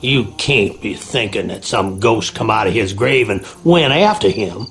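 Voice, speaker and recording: An actor playing a wary, rustic groundskeeper with an American Southern accent in a video game. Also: male voice, on the low side, animated, unhurried, fairly bright tone, a little hiss or hum. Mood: guarded, uneasy. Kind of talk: video game character acting.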